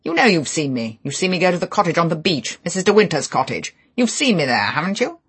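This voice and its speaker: A rough, sneering man who badgers and jeers at a witness, as a male reader puts him on. He pushes hard, raising his husky voice into a loud, mocking taunt. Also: a little high, sing-song, moderate pace, full character voice. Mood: bullying. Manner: loud, jeering, pushy.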